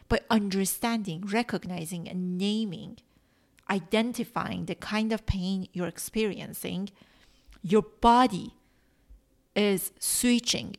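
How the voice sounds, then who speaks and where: Slow-talking woman life coach, Farsi native. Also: mid-range voice. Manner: slow, earnest, coaching cadence with soft whispered stresses. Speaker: life coach and podcast host. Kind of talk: solo podcast teaching.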